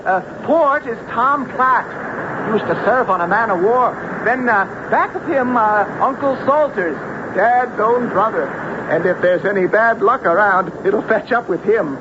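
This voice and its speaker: A man's voice: high-pitched, a little slow. An actor playing a plain-spoken, teasing young fisherman in folksy, salty radio drama style. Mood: teasing, eager. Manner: folksy, salty seafaring talk with ain't and afore. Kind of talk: old-time radio drama acting. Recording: muffled, noisy vintage radio recording.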